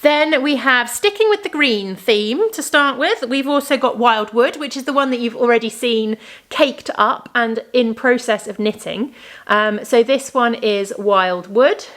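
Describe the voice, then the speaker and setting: A woman's voice: fairly high pitch, measured pace, fairly bright tone. A woman hosting a crafting podcast, chatting enthusiastically and rambling as she shows off her work, her voice slightly worn. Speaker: crafting podcast host and yarn dyer. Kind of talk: casual show-and-tell chat.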